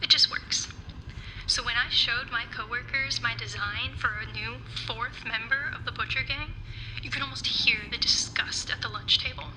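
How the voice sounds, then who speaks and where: An actress playing a slighted but stubbornly determined cartoonist in a game audio log, earnest and insistent. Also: female voice, a little high, fairly even intonation, moderate pace, background noise, lightly acted. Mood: wounded, indignant.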